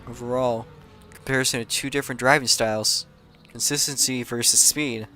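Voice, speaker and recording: A man calmly commentating a sim race, calling driver positions and penalties with frequent fillers. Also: medium pitch, moderate pace, slight background noise. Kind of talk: live sim racing commentary.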